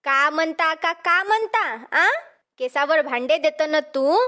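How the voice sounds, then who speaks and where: A woman's voice: high voice, unhurried, sharp tone. A woman voicing a bossy, impatient village grandmother in a cartoon comedy, with a Vidarbha Marathi accent. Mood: scolding, impatient.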